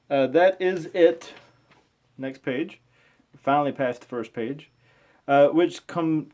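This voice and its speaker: A man's voice: on the high side, a little mellow. A man reading scripture aloud, stumbling over unfamiliar words and dropping in casual, conversational comments.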